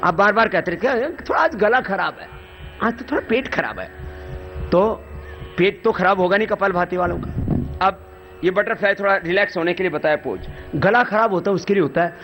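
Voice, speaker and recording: Brief: high-voiced male yoga teacher, animated and joking, dull lo-fi outdoor recording with faint music. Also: a little fast, a little hiss or hum. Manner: lively, demonstrative, folksy humor. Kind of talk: live yoga instruction to soldiers. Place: outdoor army yoga camp.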